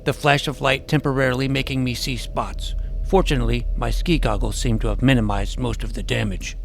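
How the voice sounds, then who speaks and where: Creaky-voiced man as earnest young hero narrator. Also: medium pitch, fairly even intonation, brisk, slight background noise, close to an everyday voice. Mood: earnest narrating. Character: earnest, nerdy junior-high boy superhero narrating his adventure. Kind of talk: first-person scripted story narration. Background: music.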